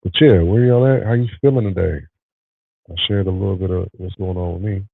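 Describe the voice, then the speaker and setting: Low, gravelly male host, congested and tired, relaxed livestream chat, tinny phone-line sound. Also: fairly quick, dry recording. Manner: relaxed, talks to live viewers. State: nasally congested. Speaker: podcast host. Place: home studio.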